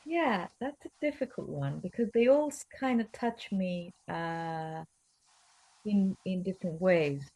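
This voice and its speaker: Thoughtful low-voiced woman, Greek native. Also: expressive, a little slow, darkish tone, rough recording. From Cyprus. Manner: thoughtful, hesitant, many fillers and restarts. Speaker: guest actress. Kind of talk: conversational interview.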